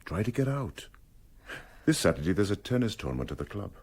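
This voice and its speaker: An actor playing a brisk, stern, paternal village doctor who gives firm advice in a radio drama. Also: male voice, on the low side, big pitch swings, a little mellow, breathy edge, heavily acted. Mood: brisk, reassuring.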